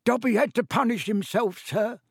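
Servile house elf, high-pitched, husky strain, squeaky, fawning, pleading, small anguished creature, audiobook character. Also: male voice, moderate pace, dry recording, clean studio sound, full character voice. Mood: frantic, ashamed. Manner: pleading, talks of himself in third person.

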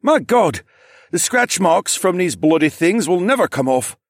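A man's voice: sits high, big pitch swings, unhurried, clean studio sound, pitched above the performer's own voice, full character voice. A man voicing a fussy, exasperated male hall worker who groans and complains in an audiobook.

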